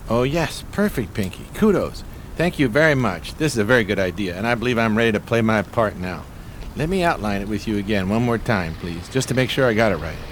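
An actor playing a grandiloquent, gentlemanly homeless con man in a 1920s New York radio play, speaking in florid, over-polite phrases with a pompous theatrical manner. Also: fairly low pitch, animated, brisk, a little hiss or hum, natural voice. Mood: eager, scheming.

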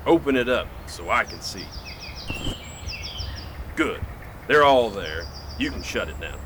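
A man's voice: on the low side, big pitch swings, a little slow. An actor playing a greedy, defiant outlaw henchman in a western radio drama, belligerent and demanding. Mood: domineering, gloating. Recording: outdoor nature ambience behind, faint noise.

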